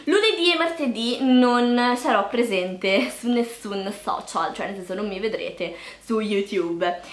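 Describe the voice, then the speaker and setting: A woman's voice: medium pitch, measured pace. Playful young woman vlogging in an echoey room. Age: early 20s. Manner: playful, self-deprecating. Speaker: YouTube vlogger. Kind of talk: casual vlog talk to camera. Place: small indoor room with bare walls.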